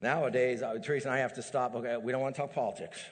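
A middle-aged man preaching a sermon as a pastor, animated and building to emphatic peaks. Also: mid-range voice, racing. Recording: long hall reverb.

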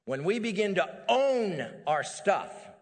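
A middle-aged man preaching a sermon as a pastor, animated and building to emphatic peaks. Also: high-pitched, slow. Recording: long hall reverb.

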